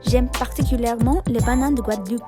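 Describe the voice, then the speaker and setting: A woman's voice: on the high side, a little fast, voice pushed up, natural voice. A woman playing a gushing ad shopper. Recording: loud hip hop music behind.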